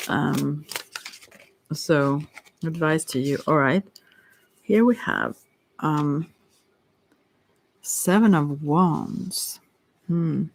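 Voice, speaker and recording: A tarot reader gives an improvised ASMR reading at an unhurried pace. The voice is gravelly with fry, and the phrasing is hesitant, often trailing away. It is captured close up with a very dry sound. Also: medium pitch, no room sound.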